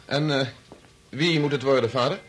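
A man's voice: mid-range voice, moderate pace, close to an everyday voice. An actor in a Dutch radio play, playing a bitter, impatient young man who argues and stammers when thrown. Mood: probing. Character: bitter, impatient son working on his father's medical experiment.

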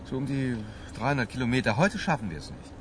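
A middle-aged husband played by an actor in a radio drama, a smooth, evasive liar. His pitch leaps around as he placates and gets agitated, with clear noise behind him. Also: very animated, fast, lightly acted. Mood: falsely cheerful. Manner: placating, swinging from muttered to loud.

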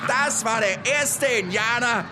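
A man's voice: very high voice, a little slow. An actor playing a boastful, coarse Wild West lawman telling war tales with crude swagger in a German radio play. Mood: gritty, swaggering. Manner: crude, swaggering storytelling with cursing. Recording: music bed behind the voice.

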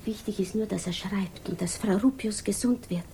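A woman's voice: mid-range voice, moderate pace, mellow, natural voice. An actress playing an anxious, brooding young widow, voicing her obsessive thoughts in a 1950s radio drama. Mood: fretful. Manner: murmured inner monologue with urgent outbursts. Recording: faintly hissy 1950s radio recording.

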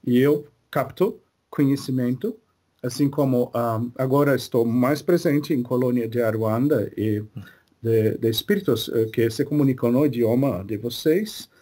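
A man speaking as a spirit guide in a religious session, slow and hesitant, often pausing to search for his words. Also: medium pitch, mellow. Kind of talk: slow halting spiritual talk.

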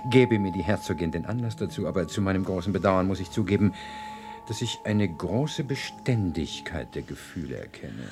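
An actor playing a courteous, flattering palm reader in a German radio play, delivering measured pronouncements with obsequious politeness. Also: male voice, sits low, over music. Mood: flattering, amused.

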